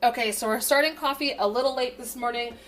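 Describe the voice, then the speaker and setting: Twenty-something woman chatting at home, bit raspy from dust, upbeat. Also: fairly high pitch, fast, over loud music. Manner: chatty, upbeat, filler-heavy. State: slightly raspy from dust allergy. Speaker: vlogger. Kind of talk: casual vlog chat. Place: home, indoors. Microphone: camera propped up nearby.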